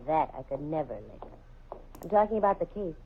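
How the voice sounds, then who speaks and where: A brisk, wisecracking lady detective, muffled vintage radio sound. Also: sits low, very animated, a little hiss or hum. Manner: brisk, wisecracking, sure of herself. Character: sharp, confident female private detective. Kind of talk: 1950s radio drama acting. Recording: dull, muffled old radio recording.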